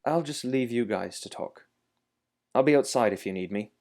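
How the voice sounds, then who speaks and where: A man playing a loyal, calm royal attendant in an amateur fairytale audio drama, speaking in a measured, reassuring way. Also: medium pitch, close to an everyday voice.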